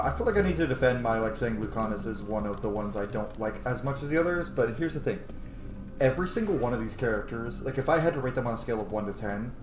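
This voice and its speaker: A man's voice: medium pitch, noisy background. A man in his thirties giving casual, gushing gameplay commentary as a streamer, sometimes swearing. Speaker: let's play streamer. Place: small room at home, indoors. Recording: loud game music behind, roomy sound. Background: video game music.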